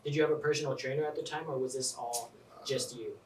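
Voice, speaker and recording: A man's voice: mid-range voice, steady tone, fast, darkish tone, recorded from a distance. A man co-hosting a podcast, asking curious follow-up questions. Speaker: podcast co-host. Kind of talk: casual interview. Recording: echoey room, off-mic, faint noise.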